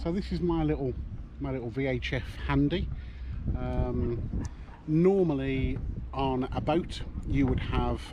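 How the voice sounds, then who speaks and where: Man, middle pitch, relaxed explainer, halting with ums, heavy background noise. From United Kingdom. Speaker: radio hobbyist reviewing a handheld marine radio. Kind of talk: casual product demonstration.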